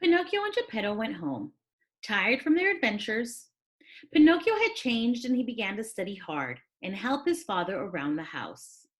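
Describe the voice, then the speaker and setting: A woman reading a children's story aloud as a teacher, with expressive, dramatic delivery. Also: medium pitch, normal speed.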